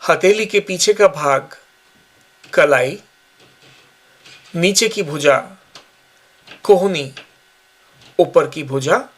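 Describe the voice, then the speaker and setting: A man guiding a yoga nidra relaxation session with slow, calm, measured instructions. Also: a little high. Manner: slow, calm, measured guidance with long pauses. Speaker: yoga teacher.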